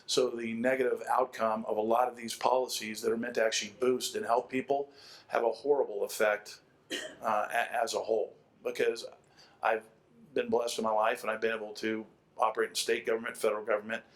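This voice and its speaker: A middle-aged man with a fairly low voice argues his case at a panel discussion. His pitch stays quite flat while he leans on repeated phrases to press his opinion. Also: a little fast. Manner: opinionated, emphatic repetition of key phrases. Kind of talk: extended spoken argument at a panel discussion.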